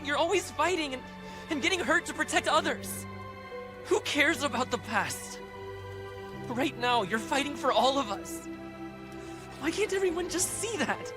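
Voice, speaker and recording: An actress voicing an earnest, anxious and loyal youngster in a video game, with a pleading manner. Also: female voice, high-pitched, expressive, measured pace, pauses often, music in the background. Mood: pleading, upset. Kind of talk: dramatic video game acting.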